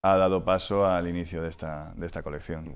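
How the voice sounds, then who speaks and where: A man with a Madrid accent giving a conversational interview as a guest, in a measured way, often tagging phrases with a short question. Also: low-pitched, steady tone, smooth. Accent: Madrid Spanish. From Madrid, Spain. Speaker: interview guest, flamenco record collector.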